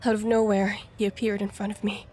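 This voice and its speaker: A woman playing an earnest, anxious young heroine, narrating her own story in a measured way for an audio drama. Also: mid-range voice, little pitch movement, warm tone, faint music behind, natural voice. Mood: brooding, uneasy. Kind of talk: scripted first-person audio drama narration.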